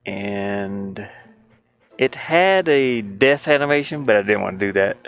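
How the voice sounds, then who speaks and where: Low-voiced man, amused and rambling. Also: lively intonation, measured pace. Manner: amused, chuckly, rambling, thinking aloud. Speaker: indie game developer. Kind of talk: casual screen-share commentary while building a 2D game.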